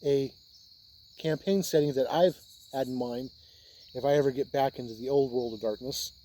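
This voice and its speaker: Mellow American man hosting a casual video. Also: mid-range voice, moderate pace. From Indiana, United States. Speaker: host of a tabletop role-playing video channel. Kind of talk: casual explainer talk to camera.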